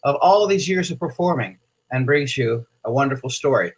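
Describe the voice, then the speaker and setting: A man's voice: medium pitch, lively intonation, moderate pace, slightly rough, low-quality recording. A man hosting a children's program, interviewing his guest in an upbeat, effusive, encouraging way. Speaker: host of a children's story program.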